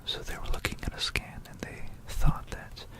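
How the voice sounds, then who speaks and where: An ASMR host telling history in a breathy, unvoiced whisper, unhurried, pausing and softly repeating phrases, close to a stereo binaural microphone.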